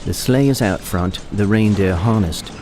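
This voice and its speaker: A man's voice: lowish voice, normal speed, mellow, a little hiss or hum, natural voice. A man narrating a comic audio play as a matter-of-fact storyteller, reading from a script. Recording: music behind.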